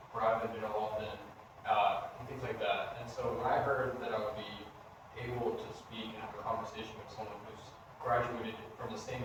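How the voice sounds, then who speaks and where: An eighteen-year-old man, a student interviewer, speaking earnestly and articulately in a formal interview. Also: on the low side. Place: echoey indoor room at a history center. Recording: very echoey room, distant mic, noisy.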